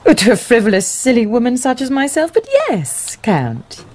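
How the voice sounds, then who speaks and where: A snobbish society wife in a period radio drama. Her voice is breathy and swoops widely as she gushes and flutters in a mannered, theatrical way. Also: a little high, sing-song, normal speed, a little mellow. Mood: coy, breathy. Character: fluttery, snobbish society wife, doting mother, cold stepmother.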